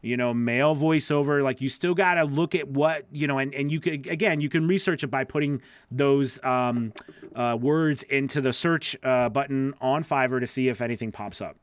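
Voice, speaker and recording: A man's voice: mid-range voice, moderate pace, smooth, no room sound. A man coaching voice-over talent in a casual livestream Q&A, rambling and correcting himself as he goes. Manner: rambling, self-correcting, lots of you know. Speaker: voice-over coach answering live questions.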